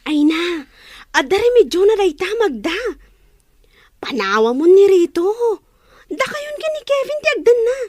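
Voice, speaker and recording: A woman plays a prying, conniving confidante in an audio drama. Her voice is extremely high and animated, captured close and dry. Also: a little slow, pauses often, no room sound, full character voice. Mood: prying, urging. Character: prying, scheming female friend egging on an affair. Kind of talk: Ilocano audio drama dialogue.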